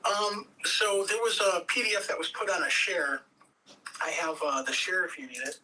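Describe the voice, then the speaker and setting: Male narrator and host, high bright voice, reading stage directions, recorded off-mic. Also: fairly even intonation, moderate pace. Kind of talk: scripted stage-direction narration with casual hosting. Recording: distant mic, faint background noise.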